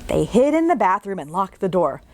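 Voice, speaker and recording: A woman's voice: medium pitch, very animated, brisk, background noise. A children's librarian, a woman, reading a picture book aloud and asking young listeners questions along the way.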